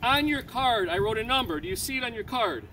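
A man's voice: very high-pitched, lively intonation, fairly quick. A man in his fifties from Chicago, doing a card trick on a residential street and chatting with the onlookers he quizzes as he counts cards aloud. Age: 50s. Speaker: street magician and preacher. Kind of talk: outdoor card trick demonstration with banter. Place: residential street outdoors, kneeling on the sidewalk. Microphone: camera mic from a few meters away. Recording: distant camera mic, loud street noise.

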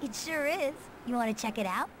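A woman's voice: a little high, fairly quick, background noise, lightly acted. A young woman with an American accent playing an upbeat skater girl and comic artist in a video game cutscene, perky and slangy. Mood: eager.

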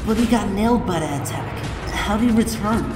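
A woman's voice: mid-range voice, lively intonation, normal speed, echoey room, big-room sound, over music, close to an everyday voice. A woman playing a timid young mouse-like creature in a comic dub, hesitant and stammering. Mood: shocked, afraid. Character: timid, frightened young Pikachu, small electric mouse creature.

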